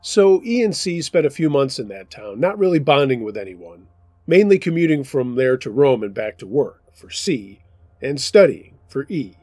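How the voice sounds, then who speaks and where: A man hosting a show where he reads submitted true scary stories aloud, in steady, measured first-person narration. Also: mid-range voice, dry recording. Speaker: storyteller host.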